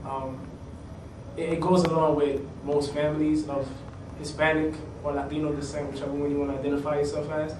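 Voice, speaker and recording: A young man reflects in a casual interview, measured and flat in intonation. He is recorded at a distance in an echoey space, with clear noise in the background. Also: a little high. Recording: echoey, distant mic, noisy.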